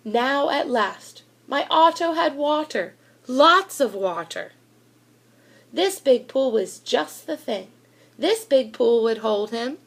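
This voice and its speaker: A woman reading a children's storybook aloud in an expressive narrating style. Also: sits high, slow pace, creaky.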